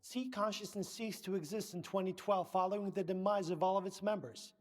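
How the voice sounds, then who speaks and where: A man's voice: sits high, fairly even intonation, fairly quick, natural voice. A man playing a pompous scientist and research director in a video game, speaking formally, precisely and with condescension. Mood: dry, lecturing. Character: pompous middle-aged male scientist, director of research center.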